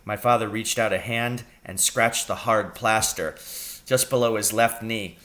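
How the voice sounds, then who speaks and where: A man narrating a children's story aloud in an expressive read-aloud style. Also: on the low side, a little slow. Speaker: audiobook reader.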